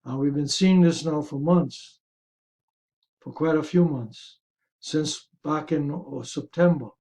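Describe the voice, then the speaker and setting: A man hosting a market review, explaining charts in a measured, careful way with a slightly raspy, hoarse voice. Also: on the high side, warm tone. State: hoarse, throat-clearing. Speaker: host of a stock market review.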